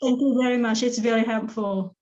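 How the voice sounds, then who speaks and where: A woman's voice: on the high side, steady tone, normal speed, a little mellow. A woman taking part in an online class, answering hesitantly and casually. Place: Zoom call. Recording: dull, lo-fi video call.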